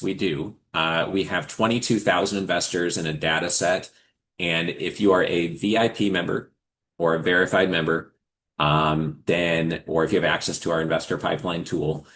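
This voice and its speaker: A man's voice: low voice, fairly bright tone. A man hosting a live forum, answering questions in a casual, conversational advisory style and explaining with examples. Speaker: host of a live forum for investors and founders.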